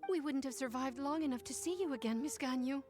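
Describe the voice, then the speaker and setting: Woman as an exhausted young clerk, game dialogue, high voice, pleading, faint music. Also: fast, a little mellow, lightly acted.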